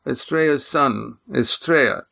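A man's voice: medium pitch, a little slow, gravelly, bright, forceful tone, voice pushed up, exaggerated voice. A man voicing a frail old patriarch with a thin but firm, formal voice.

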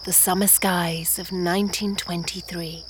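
A woman giving a scripted, atmospheric radio drama introduction in a measured, ominous storytelling manner. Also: fairly low pitch, little pitch movement, background noise. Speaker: announcer, narrator. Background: music.

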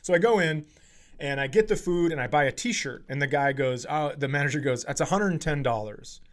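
A man hosting a comedy podcast, telling casual, profane stories in an animated way and acting out the quotes. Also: fairly high pitch, brisk. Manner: animated, profane, acts out quotes in his anecdotes.

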